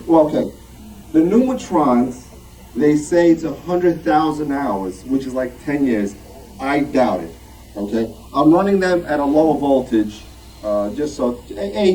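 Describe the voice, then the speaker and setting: A man's voice: mid-range voice, dark tone. A man speaking informally to a club at show and tell, rambling and self-deprecating in a conversational way. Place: club meeting room. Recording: distant mic, noisy room.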